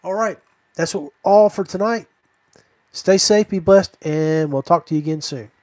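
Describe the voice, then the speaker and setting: Male podcast host, higher register, casual conversational delivery, dry close sound. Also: moderate pace, darkish tone, no echo. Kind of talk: casual podcast chat and sign-off.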